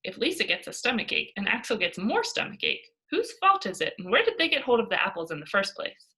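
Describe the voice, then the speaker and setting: Woman playing a cheeky storybook girl who spins tall tales; emphatic, argumentative, swings widely in pitch. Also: big pitch swings, a little fast, bright, forceful tone. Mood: nosy, interrupting. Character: cheeky, boisterous young girl, cocksure tall-tale teller. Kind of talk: children's storybook read-aloud.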